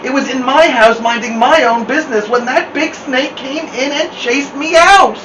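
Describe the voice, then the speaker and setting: A timid little rabbit from a storybook, put on by a man, pleads in a high, raised voice. It is meek and quick to blame others. The mic is distant, with noise behind. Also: echoey room, dull audio. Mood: timid defensive.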